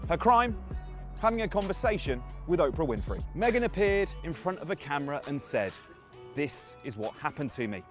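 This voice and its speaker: A man with a fairly high, sardonic voice delivers a scripted satirical monologue, his pitch swinging widely from line to line. Soft music plays underneath him. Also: very animated, moderate pace. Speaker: satirical commentator.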